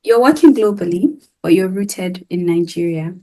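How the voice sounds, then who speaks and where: A woman's voice: lowish voice, expressive, normal speed, warm tone, rough recording. A woman hosting a casual interview, effusive and encouraging, with many fillers and "like"s. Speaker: interview host.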